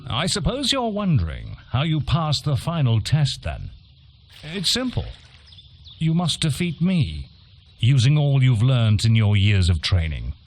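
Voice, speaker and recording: An actor playing a stern guild instructor in a fantasy game, commanding and condescending, with clipped phrasing. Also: male voice, medium pitch, wildly swooping pitch, unhurried, full character voice. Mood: stern, testing.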